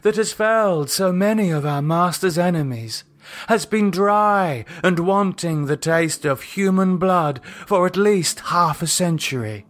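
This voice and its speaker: Man voicing a high, ceremonial female cult leader. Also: animated, unhurried, a bit bright. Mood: ritual fervour. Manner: ceremonial, declamatory, exultant. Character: commanding female vampire cult leader, fervent high priestess.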